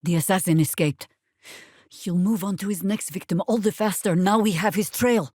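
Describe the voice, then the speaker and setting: An actress playing a seasoned, middle-aged druid warrior in a fantasy video game, wry and commanding, with a dry, sardonic, measured delivery. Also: female voice, mid-range voice, a little mellow, no room sound, lightly acted. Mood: grave, measured.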